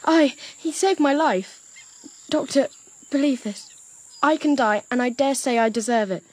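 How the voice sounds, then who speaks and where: A woman's voice: high-pitched, a little slow, darkish tone. A woman voicing an earnest, brave young cabin boy in an audio drama. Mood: earnest, resolute.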